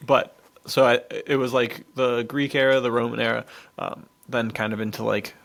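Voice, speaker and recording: A man's voice: medium pitch, steady tone, normal speed, creaky, fairly bright tone. A man co-hosting a podcast, explaining things off the cuff in a laid-back, slangy way. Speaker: podcast co-host. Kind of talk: casual chat, off-the-cuff explaining.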